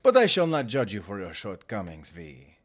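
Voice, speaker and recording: Prim, snobby warlock with lively intonation, man acting. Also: lowish voice, moderate pace. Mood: haughty, composed. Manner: formal, posh, precise diction without contractions. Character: prim, snobby, cultured male warlock, easily offended. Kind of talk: audio roleplay voice acting.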